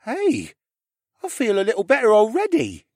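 A man voicing a weary, excitable young boy in a fantasy audiobook. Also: extremely high pitch, moderate pace, dry recording, clean studio sound, pitched above the performer's own voice, heavily acted. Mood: amazed, relieved.

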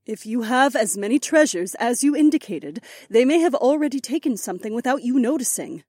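A woman playing a poised, gentle young princess in a dramatised fantasy audiobook, speaking with composure. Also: fairly high pitch, animated, brisk, breathy edge, dry recording, studio quality. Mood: gently explaining.

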